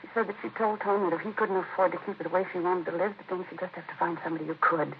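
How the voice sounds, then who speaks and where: An American actress playing a distraught, disapproving, defensive grieving sister in a 1950s radio crime drama, speaking in a hesitant, confiding way. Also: female voice, on the low side, steady tone, very fast, natural voice. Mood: agitated, recounting. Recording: muffled, noisy vintage radio recording.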